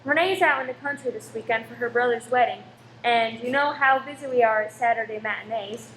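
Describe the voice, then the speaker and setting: A woman's voice: on the high side, brisk, a bit bright, natural voice. A woman playing a loyal, spirited cinema owner's wife with ready retorts in a radio play. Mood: warm. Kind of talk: amateur radio play acting. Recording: roomy echo with faint noise.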